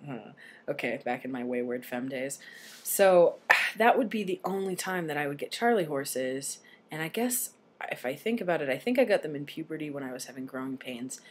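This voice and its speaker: A young adult man with a voice slightly deepened early in transition, talking casually and candidly in a personal vlog, with some swearing. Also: expressive, moderate pace. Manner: candid, self-deprecating, sweary. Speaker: video blogger. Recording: noisy home recording.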